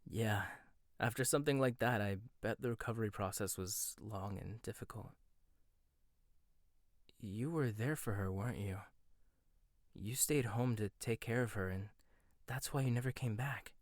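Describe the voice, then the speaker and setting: A man performing a gentle, intimate ASMR roleplay monologue, hesitant at times and teasing at others. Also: fairly low pitch, dry recording, clean studio sound.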